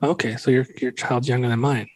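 Late-thirties man, mid-pitched, dry close sound, joking, blunt host. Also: little pitch movement, brisk, dry recording, rough recording. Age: 30s. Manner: joking, blunt, casual swearing. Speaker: podcast host. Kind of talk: casual podcast interview.

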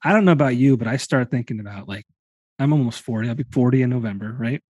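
A man's voice: medium pitch, quick pace, a little mellow, dry recording. A man in his late thirties hosting a podcast, musing casually with loose fillers. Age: 30s. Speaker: podcast host. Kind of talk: casual conversation.

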